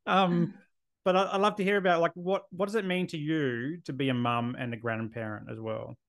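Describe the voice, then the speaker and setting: A man's voice: a little high, steady tone, moderate pace. A man in his thirties with an Australian accent, hosting a casual podcast interview in a friendly, chatty way. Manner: friendly, chatty, asks questions with fillers. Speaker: podcast host.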